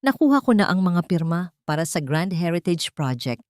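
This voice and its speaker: A woman's voice: lowish voice, big pitch swings, moderate pace, a little mellow, no echo, studio quality. A woman voicing a scheming, greedy businessman fiancé in an audiobook drama, talking in a conspiratorial way.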